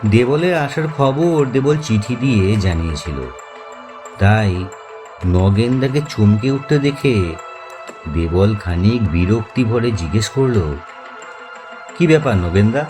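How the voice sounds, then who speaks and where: A man narrating a scripted ghost story as host, in a measured, deliberate way with suspenseful pauses. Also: on the low side, lively intonation. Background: faint music.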